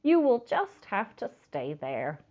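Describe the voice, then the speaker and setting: A woman voicing a firm, reluctant but kindly old grandmother in a children's storybook, with emphatic, drawn-out phrases. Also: mid-range voice, huge pitch swings, lo-fi sound, exaggerated voice. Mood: worried. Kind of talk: children's storybook read-aloud.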